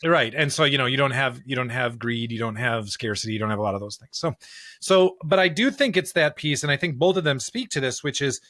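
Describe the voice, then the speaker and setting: A man's voice: medium pitch, lively intonation, a little fast, pushed and bright, no room sound. A man co-hosting a podcast, explaining ideas conversationally and often punctuating his points with a questioning "right?". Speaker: podcast co-host. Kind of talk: conversational discussion of scripture and pop culture.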